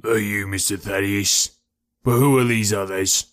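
A man voicing a gruff, stubborn ex-prizefighter porter with blunt, rough working-class speech in an audiobook. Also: fairly low pitch, fairly even intonation, unhurried, fairly bright tone. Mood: suspicious. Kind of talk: audiobook character voice.

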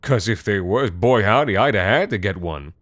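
A man's voice: fairly low pitch, animated, normal speed, a bit bright, dry recording, studio quality. A man voicing a crude, folksy middle-aged dad with a rural drawl. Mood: teasing.

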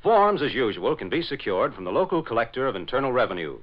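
A man's voice: medium pitch, sing-song, quick pace. An American man announcing and narrating in scripted 1940s radio style, with formal, dramatic enunciation. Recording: dull lo-fi 1940s radio broadcast.

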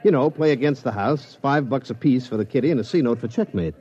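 An actor playing a breezy, fast-talking hustler in 1950s radio drama style, full of streetwise slang. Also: male voice, mid-range voice, dark tone, husky, natural voice. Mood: chummy, slick. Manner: streetwise slang, breezy wise-guy patter. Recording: dull old radio recording.

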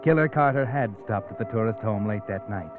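An American actor playing a mysterious, sardonic crime narrator in a 1940s radio drama, knowing and teasing. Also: male voice, fairly low pitch, brisk, natural voice. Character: mysterious, sardonic male narrator who knows dark secrets. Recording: tinny band-limited 1940s radio recording, music. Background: music.

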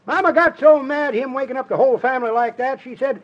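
An actor playing a talkative, excitable old man who spins folksy tall tales in an old radio comedy. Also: very high-pitched, quick pace. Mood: amused storytelling. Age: older. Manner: folksy drawling yarn-spinner, chuckling. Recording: dull old radio recording.

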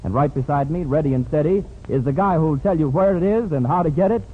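A man's voice: a little high, quick pace, a little hiss or hum. An American man announcing a 1940s radio show in playful, rhyming hipster patter. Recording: narrow-band vintage radio recording, music behind.

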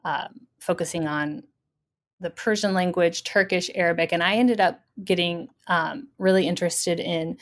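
Academic woman in her thirties, low register, reflective interview answers with frequent fillers. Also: fairly even intonation, normal speed. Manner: thoughtful, many fillers. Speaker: professor.

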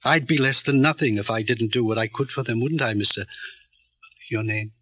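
An actor playing a smug, calculating middleman who runs a barrio, talking in a smooth, condescending, pompous way in an old radio crime drama. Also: mid-range voice, moderate pace, bright, forceful tone, dry recording, close to an everyday voice. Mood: self-satisfied.

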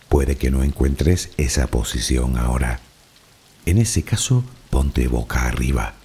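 A man with a Canarian Spanish accent narrates a sleep relaxation in a very deep, mellow voice. His tone has a slightly breathy, husky edge. The delivery is soft and unhurried, meant to lull the listener. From Canary Islands. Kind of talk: slow guided relaxation narration.

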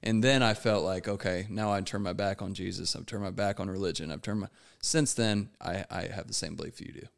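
A man with a fairly low voice and lots of gravelly fry talks as a guest in a casual podcast chat. He comes across as candid and earnest, speaking openly about himself. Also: fairly even intonation, a little fast, few pauses.

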